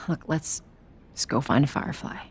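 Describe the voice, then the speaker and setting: A woman's voice: moderate pace, a little hiss or hum, close to an everyday voice. An actress playing a tough American smuggler in her thirties in a video game, blunt, dry and menacing. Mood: blunt, businesslike. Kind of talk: dramatic video game acting.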